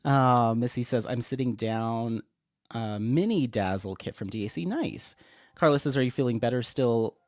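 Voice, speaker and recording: A man hosting a casual craft livestream, slightly sniffly, reading viewer comments aloud in a relaxed, friendly way at a desk microphone. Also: mid-range voice, moderate pace, no echo, clean studio sound. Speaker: livestream host. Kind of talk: casual live-stream chat, reading comments. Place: home craft room, at a desk.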